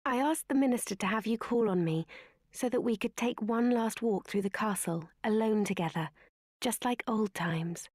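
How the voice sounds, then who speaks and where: An actress playing a gentle, dutiful young princess in a video game, speaking formally and tenderly with a breathy voice. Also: female voice, a little high, moderate pace, no echo, clean studio sound, close to an everyday voice. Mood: tender, grateful. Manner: formal, soft-spoken, tender. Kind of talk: scripted video game voice acting. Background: game music.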